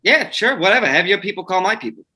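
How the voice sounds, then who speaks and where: A breezy, flippant showbiz man, played by a male reader in a screenplay table read. He is overfamiliar and joking, with a bright, sharp-edged voice. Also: sits high, animated, fast, full character voice.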